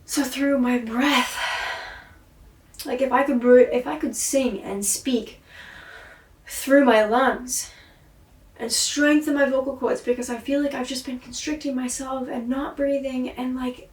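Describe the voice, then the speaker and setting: A tired-sounding woman with a fairly high voice talks through a candid video diary. She is introspective and blunt, with frequent swearing and weary sighs, and her throat sounds sore. She sits well back from the mic in an echoey room. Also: steady tone, unhurried, recorded from a distance. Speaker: vlogger and singer. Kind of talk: rambling video diary monologue.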